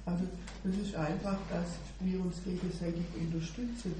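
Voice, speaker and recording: A woman's voice: on the low side, steady tone, moderate pace, mellow. A woman sharing at a church meeting, hesitant, with many fillers. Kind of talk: informal devotional sharing. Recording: echoey room mic from far off with background noise.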